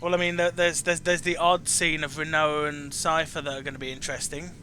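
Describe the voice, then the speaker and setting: A man hosting a casual chat about video games, repeating words haltingly as he searches for his phrasing. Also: a little high, flat delivery, sharp tone, slight background noise. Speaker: chat show host.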